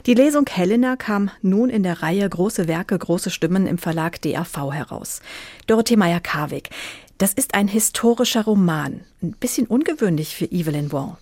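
A woman with a fairly low voice presents a radio books programme. She reads prepared introductions and asks short questions, recorded close and very dry in a studio. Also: lively intonation, normal speed, a little mellow, dry recording. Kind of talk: scripted presentation with interview questions. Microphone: close studio microphone.

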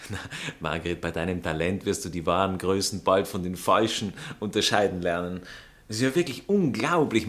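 A man's voice: on the low side, fairly bright tone. An actor playing a snobbish, condescending Austrian baron and racing enthusiast in a theatrical radio comedy, nonchalant and drawling in his interjections. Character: snobbish aristocratic baron, horse-racing fiancé, condescending and jealous.

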